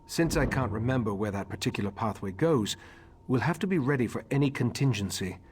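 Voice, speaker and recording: A man plays a solemn mage leader from a fantasy video game. He speaks formally and reflectively at a middle pitch, weighing his thoughts. Faint ambient noise sits behind the voice. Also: animated, lightly acted. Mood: earnest, reflective. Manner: measured, formal, ruminative.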